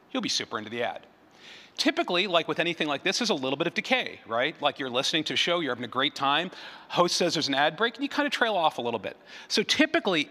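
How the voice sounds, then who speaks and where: Bright-voiced man presenting in a reverberant hall. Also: on the high side. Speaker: conference speaker, advertising researcher. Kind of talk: conference presentation. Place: large room, live event.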